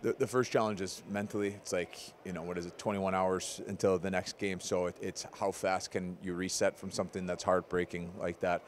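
Give me a head coach in his forties speaking low and even, answering postgame questions. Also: a little hiss or hum. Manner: measured, analytical, many ums. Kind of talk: postgame press interview. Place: arena corridor, indoors. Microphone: handheld interviewer mic.

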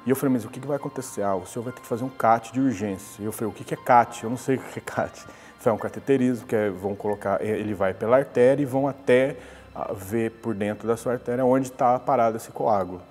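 A man's voice: medium pitch, fast, darkish tone, big-room sound, soft background music. A man, recounting a personal story in a conversational interview. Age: 40s. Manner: conversational storytelling, with many restarts. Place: glass-walled lobby, indoors.

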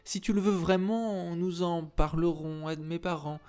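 A man's voice: fairly even intonation, unhurried, a little mellow, clean tone, soft background music, lightly acted. A man voicing a curt, teasing young chambermaid in an audiobook reading. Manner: curt and teasing, high put-on voice. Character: sharp-tongued young woman, a chambermaid.

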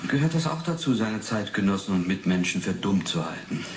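An actor playing a persistent official interrogator with a pointed, probing manner in a 1980s German radio drama. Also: male voice, lowish voice, lively intonation, brisk. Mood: needling. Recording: echoey room with clear background noise.

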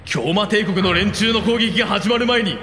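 An actor playing a desperate, forceful military technical officer in an agitated radio drama performance. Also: male voice, high voice, normal speed, slight background noise. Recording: reverberant, music behind.

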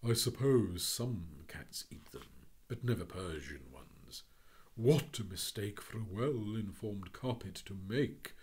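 A man reading a children's story, voicing a fussy, pompous magical phoenix with a husky tone. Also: lowish voice, animated, takes it slowly, a little mellow. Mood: pompous, exasperated. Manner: pompous, precise. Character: fussy, grand old male phoenix bird.

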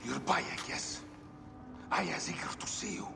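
High-pitched, gravelly, pompous scholar man. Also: a little slow, in a large hall, noisy background. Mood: theatrical, secretive. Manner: pompous, theatrical. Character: fussy, theatrical Greek-Egyptian scholar poet, secretive and pompous. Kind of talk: video game cutscene acting.

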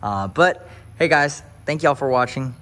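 A man's voice: medium pitch, expressive, measured pace, a bit bright. A man touring a new house for viewers, then preaching in an upbeat, hyped way. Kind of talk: casual house-tour vlog turning to preaching. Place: empty new house, then its covered back patio.

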